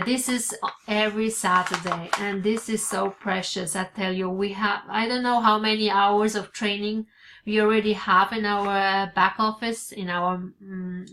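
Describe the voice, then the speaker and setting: A woman's voice: mid-range voice, fairly bright tone. A woman in her forties, Italian by birth and raised in Switzerland, speaking English with an Italian accent. She talks to a webcam from her home office, hesitant, with many fillers and restarts. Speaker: vlogger. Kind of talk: casual vlog to camera. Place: home office with bookshelves, indoors. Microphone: webcam at desk.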